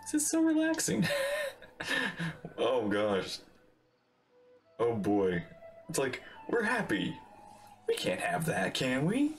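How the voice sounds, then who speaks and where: Wildly expressive man reacting on stream. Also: medium pitch, takes it slowly, pauses often, faint music behind. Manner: playful, exaggerated reactions. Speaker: game streamer. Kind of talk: casual livestream reaction commentary.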